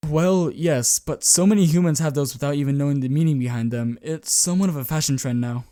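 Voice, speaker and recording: A man's voice: a little high, fairly quick, mellow, dry recording, clean studio sound, natural voice. A man voicing a defensive, curt young vampire son in an amateur roleplay. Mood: guarded.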